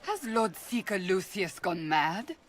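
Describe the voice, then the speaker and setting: A woman's voice: mid-range voice, sing-song, slow pace. An actress playing a stern woman warrior who speaks bluntly and directly in a game cutscene.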